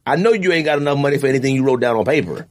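A man co-hosting a podcast, teasing his friends in loose banter and laughing loudly. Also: very fast, background noise. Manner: teasing, laughs loudly, lively swings in pitch. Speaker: podcast co-host. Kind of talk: casual banter.

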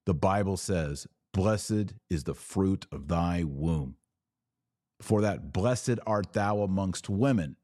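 A man's voice: deep voice, animated, slow pace, warm tone, no room sound, studio quality. An American man hosting a religious podcast, talking informally to online viewers in an emphatic way with repeated phrases and rhetorical questions.

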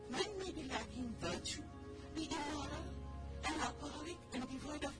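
A woman's voice: sits high, moderate pace, distant mic, over loud music, pitched above the performer's own voice, natural voice. A woman playing an inquisitive, traditional Indian woman in a radio play reading, questioning and preachy. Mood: admonishing.

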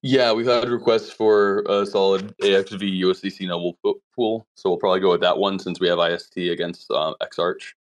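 Male host, lowish voice, upbeat and easygoing, laughs a lot. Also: steady tone. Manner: upbeat, laughs easily, talks fast about business. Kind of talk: casual online group chat.

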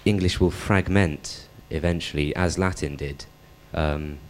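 A man with an extremely deep voice asks the panel a question at a public debate. He hesitates often and fills the gaps with ums. A faint room ambience sits behind him. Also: fairly even intonation, unhurried, noisy background. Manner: hesitant, many fillers. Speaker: audience member asking a question.

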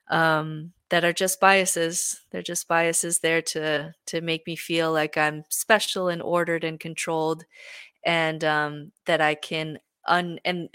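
A young woman talking earnestly in a relaxed podcast conversation, with frequent fillers. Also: deep voice, clear, resonant tone, dry recording, clean studio sound. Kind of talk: reflective conversational talk.

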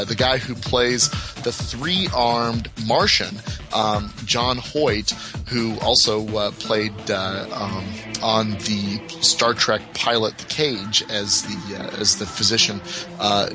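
A man talking casually as an enthusiast podcast guest, rambling and haltingly backtracking as he goes. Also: lowish voice.

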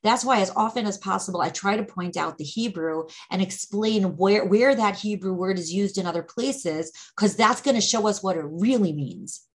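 A woman's voice: medium pitch, moderate pace, few pauses. A woman teaching Torah, explaining ideas patiently in a conversational way with frequent restarts. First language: English.

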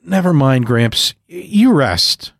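A man voicing a gentle, worried grandson talking with his ailing grandfather. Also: on the high side, sing-song, slow pace, creaky, no room sound, clean studio sound, voice pushed up, heavily acted. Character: worried grandson at a hospital bed.

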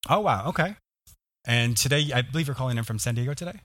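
A man's voice: medium pitch, expressive, fast, a bit bright, no room sound, studio quality. A man hosting an interview show, moving between scripted introductions and conversational questions. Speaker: podcast host and interviewer.

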